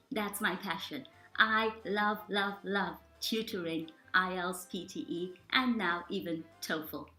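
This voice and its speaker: An emphatic woman introduces herself as a tutor, leaning on repeated phrases and stressing her words. Her voice sits at an ordinary middle pitch. It rings in a roomy, echoey space with faint music underneath. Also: fairly bright tone. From South Africa. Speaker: language tutor. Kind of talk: self-introduction video.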